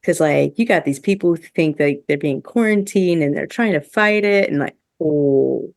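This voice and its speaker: A woman with a low voice hosts a casual review podcast. She talks in an informal, emphatic way, and the sound is dry and close. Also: normal speed, gravelly, no echo. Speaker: podcast host.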